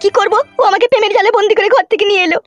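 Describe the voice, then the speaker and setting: A woman's voice: very high voice, fairly even intonation, very fast, highs cut off, soft background music. A woman playing a put-upon young wife and tenant in a comic cartoon, evasive and protesting. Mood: resigned.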